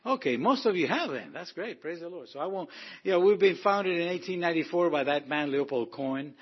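A man's voice: on the high side, animated, fairly quick, slightly rough, muffled recording. A man from Argentina, director of a ministry, giving an informal testimony to a congregation with many fillers and restarts. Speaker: guest speaker, ministry director.

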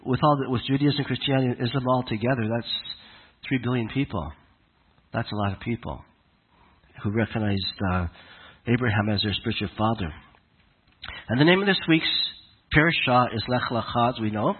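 A man with a cold and a raspy voice, giving a conversational, halting sermon to his congregation. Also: lowish voice, narrow, tinny audio. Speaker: congregation leader. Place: congregation hall in Alberta.

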